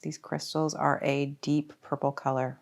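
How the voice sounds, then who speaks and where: Low voiced lady doing a guided meditation, calm n soothing, lots of pauses. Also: gravelly. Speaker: yoga nidra teacher.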